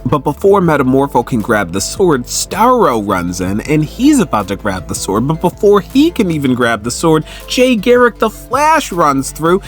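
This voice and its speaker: Animated man giving a casual recap. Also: on the high side, moderate pace, few pauses, soft background music. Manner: animated, conversational storytelling. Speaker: host of a comic book recap channel.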